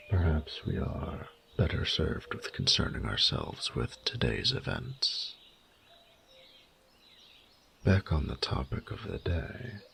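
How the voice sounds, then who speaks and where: An immense, proud dragon speaks in a bottomless, heavily creaking bass. He takes his time over formal, flirtatious phrases, murmuring intimately to his beloved in a romantic audio roleplay. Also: a bit bright, a little hiss or hum, exaggerated voice. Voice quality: very deep, gravelly, breathy murmurs. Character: huge proud male dragon, flirty, doting and courtly.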